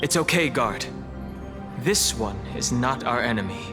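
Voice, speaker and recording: Game hero male actor: young man, mid-pitched, deliberate and serious, taking his time, music underneath. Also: lively intonation, close to an everyday voice. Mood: calm, reassuring. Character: cautious, level-headed young male adventurer. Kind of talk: scripted video game voice acting.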